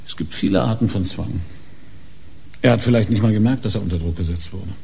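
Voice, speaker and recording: An actor playing a dogged, impatient police inspector in a radio crime drama, probing and suspicious, with a husky, muted voice. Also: male voice, fairly low pitch, fairly quick, dark tone, a little hiss or hum. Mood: musing, suspicious. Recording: reverberant hall-like radio drama scene.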